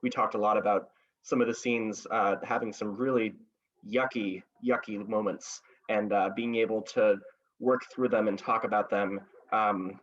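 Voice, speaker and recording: A man gives reflective remarks as an actor on a panel. He speaks haltingly, pausing and searching as he goes. The audio is slightly dull and lo-fi. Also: fairly low pitch, steady tone.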